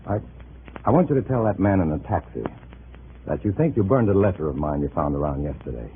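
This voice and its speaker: Troubled undercover guy in old radio play, low voice, swings around a lot, tinny sound. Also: expressive, fairly quick, slight background noise. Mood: uneasy, pleading. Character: troubled undercover man pleading with family, adult elder son. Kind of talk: 1950s radio drama acting.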